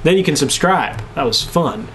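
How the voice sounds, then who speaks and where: A man's voice: a little high, expressive, moderate pace, fairly bright tone. A man co-hosting an entertainment show in casual back-and-forth banter. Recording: clearly noisy room recording.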